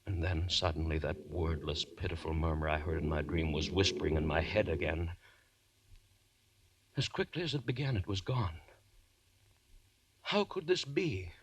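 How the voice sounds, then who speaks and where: An actor playing a haunted, desperate condemned man recounting his nightmares in theatrical, halting 1940s radio horror style. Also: low voice, slightly rough. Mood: tormented, then panicked. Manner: theatrical, halting, feverish first-person narration. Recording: 1940s radio broadcast recording.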